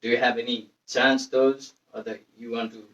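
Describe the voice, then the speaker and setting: Man interviewing in halting English. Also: medium pitch, flat delivery, fairly bright tone, muffled recording. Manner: halting, broken phrasing. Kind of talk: casual interview questions. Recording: echoey room, distant mic.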